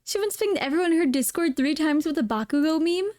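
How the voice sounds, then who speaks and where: Woman host, high voice, giggly, repeats words, dry close mic. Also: animated, quick pace, darkish tone, dry recording. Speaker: podcast host. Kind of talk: casual chat.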